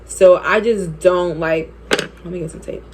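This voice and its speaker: A woman's voice: on the low side, fairly even intonation, unhurried, noisy background. A woman in her twenties telling a personal story while doing her makeup, chatty and candid, laughing often. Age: 20s. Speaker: beauty vlogger.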